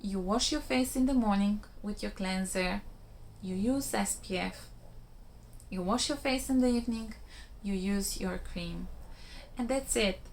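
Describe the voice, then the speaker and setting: A woman's voice: mid-range voice, measured pace. Bulgarian-accented woman explaining, noisy background. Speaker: beautician giving skincare advice. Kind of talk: casual explanatory vlog to camera.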